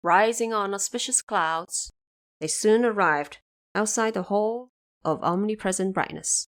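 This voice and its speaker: A woman's voice: mid-range voice, measured pace. A woman reading scripted narration as the storyteller of an audio drama.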